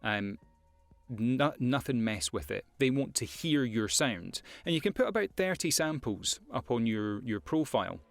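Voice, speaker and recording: A man's voice: medium pitch, lively intonation, measured pace, vocal fry, dry recording, soft background music. A Scottish man, a voiceover artist, talking casually to camera with career advice, with frequent fillers and repeated words. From Scotland.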